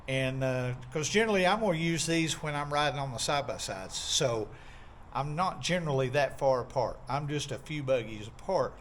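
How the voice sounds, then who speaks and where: A man hosting a casual unboxing video, talking in a relaxed, folksy way with plenty of pauses. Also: mid-range voice, a little hiss or hum. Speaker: video host doing an unboxing. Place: outdoors in a park.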